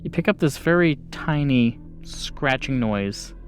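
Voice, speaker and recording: A man's voice: mid-range voice, expressive, measured pace, soft background music. A man narrating a tabletop game as game master, descriptive and informal.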